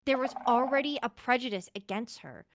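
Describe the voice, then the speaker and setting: A woman playing an earnest, suspicious courtier in an improvised Minecraft roleplay, insistent as she thinks out loud. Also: a little high, a little fast, background noise, natural voice.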